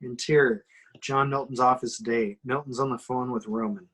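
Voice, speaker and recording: A man's voice: medium pitch, steady tone, moderate pace, a bit bright, slightly rough. A man reading screenplay action lines dramatically at a table read, punching out the sudden action words. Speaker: reader of the action description.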